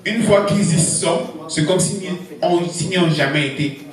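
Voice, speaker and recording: A man urging party members on in short, deliberate, emphatic phrases, like a political speech. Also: high voice, a crowd in the background. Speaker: speaker at a party conference. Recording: very echoey hall, distant mic.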